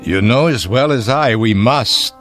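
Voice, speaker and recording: An actor playing a frail, stubborn but gentle husband in his seventies in a radio drama, speaking in a halting, courteous way. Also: mid-range voice, lively intonation, soft background music. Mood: weary, reassuring. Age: 70s.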